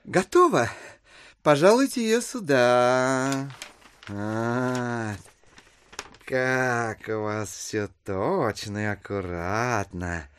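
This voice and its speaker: An actor playing a polite, cautious gentleman schemer, ingratiating and evasive, in a Russian literary audio drama. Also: medium pitch, extremely slow, slight background noise. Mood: admiring.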